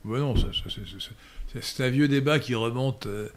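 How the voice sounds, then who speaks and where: A man's voice: medium pitch, normal speed. Hesitant lecturing French-speaking man. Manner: hesitant, frequent "euh" and restarts, lecturing tone. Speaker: guest answering viewer questions. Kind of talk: unscripted spoken Q&A.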